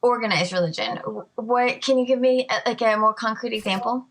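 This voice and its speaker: Female podcast interviewer, fairly high-pitched, informal and conversational in questions and replies. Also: fairly quick, fairly bright tone, low-quality recording. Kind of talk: casual interview questions and listening responses.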